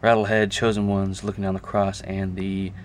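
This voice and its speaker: A man giving a casual, unscripted show-and-tell to a camera, talking haltingly and thinking aloud as he goes. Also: sits low, level tone. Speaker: video creator showing a music collection. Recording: clear background noise, amateur camera audio.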